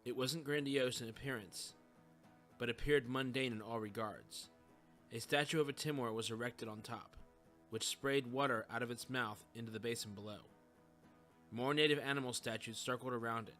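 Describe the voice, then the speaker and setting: A man's voice: medium pitch, steady tone, normal speed, fairly bright tone, soft background music. A man giving scripted narration of a story in the third person.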